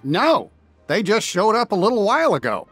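An actor playing a friendly, easygoing cowboy toy leader in a video game, folksy and reassuring. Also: male voice, sits high, huge pitch swings, moderate pace, a bit bright, faint music behind, heavily acted. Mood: wistful. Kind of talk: animated video game dialogue.

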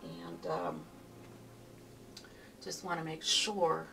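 Soft-spoken woman with a Pittsburgh accent, painting teacher, low, unhurried voice, picked up by a distant camera mic. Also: very animated, vocal fry, over music. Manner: unhurried, murmurs to herself while painting. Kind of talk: step-by-step painting tutorial. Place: home art studio, indoors. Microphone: camera mic from behind, far off.

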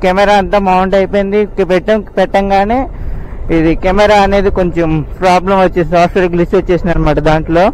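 A man's voice: high voice, level tone, fast talker, a little mellow, poor audio. A man chatting casually and ramblingly as he vlogs from his motorbike, often rephrasing himself. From Andhra Pradesh, India. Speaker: motovlogger. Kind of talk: casual vlog chat while riding. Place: outdoors on a motorbike at night. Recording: constant road and vehicle noise. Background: traffic and vehicle noise.

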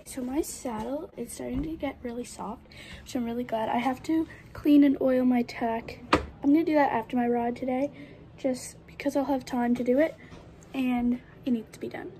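A high-voiced teenage girl chats into a phone held close to her face as she gets her horse ready. Her tone is mellow and dark, her talk loose and full of fillers. Faint barn noise runs behind her. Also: steady tone. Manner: chatty, with fillers and quick asides. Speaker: vlogger, horse rider. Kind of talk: casual vlog narration. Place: horse barn and outdoor riding arena. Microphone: phone camera held close.

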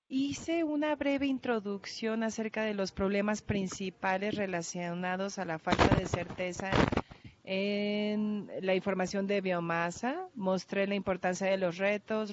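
Ponente con acento portugués, dicción lenta y vacilante, cámara lejana en un auditorio. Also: female voice, medium pitch. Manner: careful, hesitant, with restarts and fillers. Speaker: researcher presenting at a workshop. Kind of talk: conference talk. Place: large conference hall. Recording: dull lo-fi hall recording.

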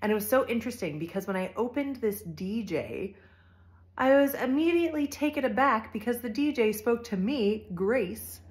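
A woman's voice: on the high side, lively intonation, moderate pace. A woman in her thirties chatting casually and conversationally to camera at home, recorded on a phone at arm's length. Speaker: vlogger. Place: furnished living room at home.

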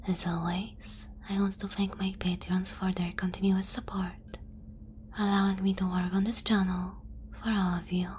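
A woman whispering softly and breathily in an ASMR style, as a creator addressing listeners. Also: medium pitch, flat delivery, normal speed, slight background noise. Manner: whispering, soft and breathy delivery. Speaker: ASMR channel creator thanking listeners and patrons.

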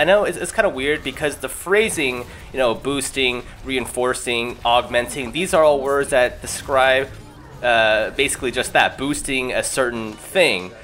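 A man's voice: medium pitch, sharp tone, slight background noise. A young man doing casual gaming commentary as a video creator, chatty, informal and self-deprecating. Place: voice-over recorded apart from the gameplay. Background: music.

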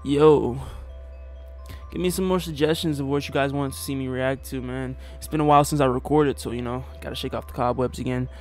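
A young man chats through a music reaction in his small bedroom, laid-back and full of slang and curses. His voice sits at an ordinary pitch for a man. A hip hop track plays faintly behind him. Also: steady tone, a little fast, darkish tone. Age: young adult. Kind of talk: casual reaction commentary. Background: hip hop track playing.

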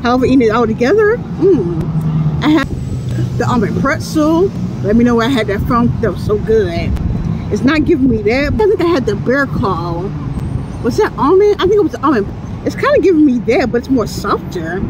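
Woman in her thirties, playful food vlogger, high lively voice, phone held at arm's length outdoors, traffic audible. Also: normal speed. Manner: chatty, playful, laughs often while eating. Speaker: food reviewer. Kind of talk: casual food review vlog. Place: outdoors beside a building, near traffic. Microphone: phone camera at arm's length.

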